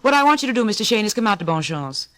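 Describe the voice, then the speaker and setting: An actress playing a cool, imperious young heiress from a decadent family in an old-time radio drama. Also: female voice, mid-range voice, very animated, rapid-fire, lightly acted. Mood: commanding. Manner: haughty, self-assured.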